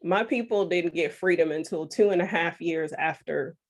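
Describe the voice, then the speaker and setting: A woman's voice: lowish voice, moderate pace. A woman from Texas who co-hosts a radio show, speaking forthrightly and passionately as a guest on a live video call. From Texas, United States. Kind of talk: live online conversation.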